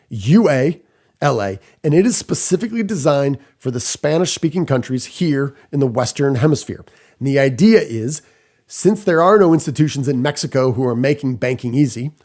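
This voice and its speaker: A man's voice: a little high, a little mellow. A man hosting a podcast, giving scripted business commentary with rhetorical questions and emphatic short phrases. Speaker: podcast host.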